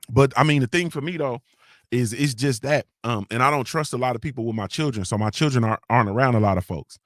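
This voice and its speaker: A man hosting a casual morning show, spinning stories full of slang and profanity. He keeps tagging his lines with questions to the listener. His voice sits at a middle pitch and the sound is dry and close. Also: dry recording. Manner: loose storytelling with heavy profanity and slang; frequent filler tags like "you feel me". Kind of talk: casual live-stream chat reading comments.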